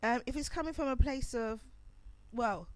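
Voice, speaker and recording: A woman's voice: a little high, moderate pace, darkish tone, no echo. A woman hosting a pre-recorded radio show, chatting casually about astrology while losing her voice and coughing. She rambles and corrects herself as she goes.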